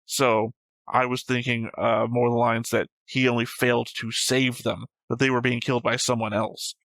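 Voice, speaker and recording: A man's voice: medium pitch, little pitch movement, moderate pace, fairly bright tone, dry recording. A man co-hosting a reaction show, picking apart points in casual debate with a precise, argumentative manner. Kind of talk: casual debate about an animated series.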